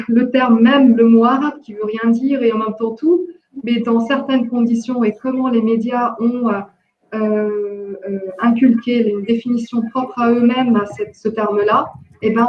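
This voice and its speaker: A woman from Jordan with no accent, speaking haltingly with many fillers and restarts in an online discussion workshop. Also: on the high side. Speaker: workshop participant, entrepreneur. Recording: echoey, dull lo-fi call audio.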